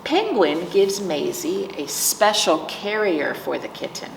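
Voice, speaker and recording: Animated woman reading to children, roomy sound. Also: lowish voice, takes it slowly, gravelly. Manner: simple explanations and questions addressed to children. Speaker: library storytime host reading picture books. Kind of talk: animated read-aloud for young children. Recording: roomy, distant mic, faint noise.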